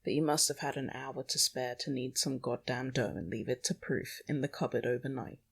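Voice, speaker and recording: A woman's voice: a bass voice, a little fast, vocal fry, slightly rough. A young woman podcasting alone in a rambling, confessional monologue, anxious and self-deprecating. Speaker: podcast host. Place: small bedroom at home. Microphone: small microphone.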